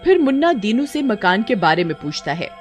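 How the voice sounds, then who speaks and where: A woman's voice: medium pitch, very animated, a little fast, soft background music. A woman narrating a cartoon story from a script.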